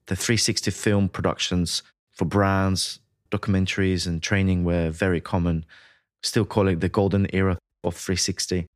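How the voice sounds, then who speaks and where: A man from Latvia, a sound designer, giving measured, explanatory answers as an interview guest. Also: deep voice, no room sound, studio quality.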